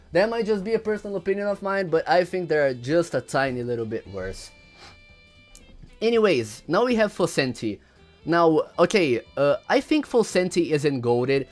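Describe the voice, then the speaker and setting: Young male streamer, Portuguese first language, slightly high voice, rambling casual talk, faint music. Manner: rambling, repeats words, reads chat aloud. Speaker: livestreamer. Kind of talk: casual livestream commentary.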